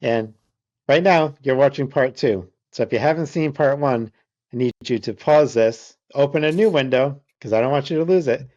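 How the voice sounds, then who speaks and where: A man's voice: mid-range voice, darkish tone, no room sound. Upbeat middle-aged man, dry muffled sound. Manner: upbeat, joking, talks in quick bursts. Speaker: host. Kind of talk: casual chat.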